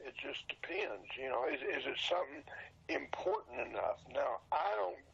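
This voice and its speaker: An older man in his sixties or beyond with an extremely creaky, badly husky voice. He explains things plainly and informally in a casual interview, his pitch rising and falling freely. The sound is a bit dull and lo-fi. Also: expressive, normal speed, a bit bright. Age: 60s or older. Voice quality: gravelly, husky. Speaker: interview guest, old radio show collector and seller.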